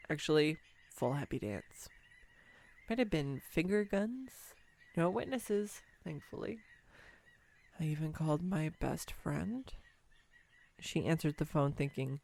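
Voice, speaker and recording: A woman's voice: sits low, gravelly, heavily acted. A woman playing a clumsy, sweetly awkward young woman smitten on a first date, speaking tenderly and playfully to the listener in a romantic audio roleplay. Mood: giddy, excited. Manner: tender, playful, hesitant, self-deprecating. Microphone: close USB microphone, dry room.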